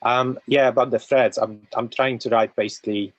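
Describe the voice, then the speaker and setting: A man's voice: mid-range voice, fairly even intonation, moderate pace, no room sound, lo-fi sound. A man chatting on a video call through his laptop, hesitant and rambling with many fillers. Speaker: community member. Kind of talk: casual video call chat. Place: room with a laptop, fan noise mentioned. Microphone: laptop mic on a video call.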